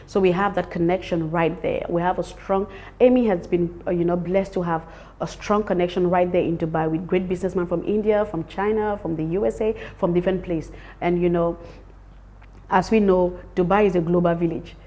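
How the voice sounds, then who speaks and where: A woman's voice: lowish voice, fairly even intonation, fairly quick, mellow. A woman from Cameroon with a Cameroonian accent, speaking emphatically as an interviewed event organiser and repeating words for stress. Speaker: summit organiser being interviewed. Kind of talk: promotional interview talk.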